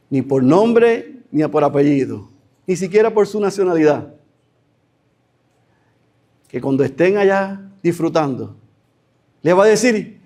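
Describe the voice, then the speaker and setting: Male pastor, lively expressive sermon delivery. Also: fairly high pitch. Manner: animated preaching, acts out imagined voices.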